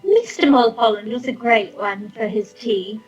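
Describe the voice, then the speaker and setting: A woman's voice: on the high side, animated, slightly rough, faint music behind, pitched above the performer's own voice, poor audio. A woman voicing a sweet, fussy older landlady with a cooing, genteel manner and an eerily cozy air. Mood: sweet, eerie. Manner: cooing, genteel, drawn-out exclamations.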